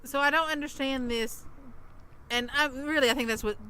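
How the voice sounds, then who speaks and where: A woman's voice: high-pitched, moderate pace, bright, forceful tone, rough recording. A woman in her thirties co-hosting a podcast, telling a story in casual chat and acting out the people she quotes. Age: 30s. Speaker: podcast co-host.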